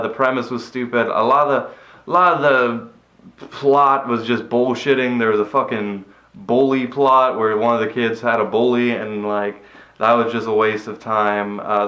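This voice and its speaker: A man with a flat, mid-pitched voice rambles through a cynical movie review, dropping curses offhand. He sits in a bare, empty room, so his words ring with a loud echo. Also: dull audio. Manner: rambling, cynical, swears casually. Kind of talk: casual spoken movie review. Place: empty room after a move.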